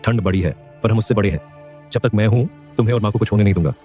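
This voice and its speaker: An actor voicing a poor young laborer in an animated story, earnest and pleading. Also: male voice, on the low side, racing, breathy edge, soft background music. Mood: reassuring.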